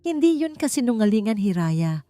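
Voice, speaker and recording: A woman's voice: fairly high pitch, big pitch swings, normal speed, warm tone, voice pushed up. A woman voices a young Filipino man in an audiobook romance. He is guilty, earnest and desperate, speaking with intensity. Faint music plays underneath. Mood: pleading. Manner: pleading, intense.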